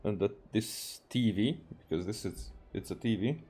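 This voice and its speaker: A man from Hungary with a Hungarian accent, casually streaming a game and talking haltingly with many fillers and restarts. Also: lowish voice, dark tone, breathy edge. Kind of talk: casual livestream commentary, reading game dialogue aloud.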